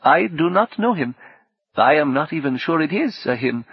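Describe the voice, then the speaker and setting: Man voicing a shy, high-pitched woman. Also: expressive, normal speed, breathy edge, dry recording, muffled recording. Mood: earnest, unsure. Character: shy woman stranger, earnest and uncertain.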